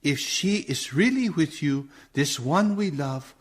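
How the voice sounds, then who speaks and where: An actor playing an earnest, urgent doctor who leads a vampire hunt in a radio play, grave and deliberate. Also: male voice, a little high, animated, slightly rough, heavily acted. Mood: brooding, calculating.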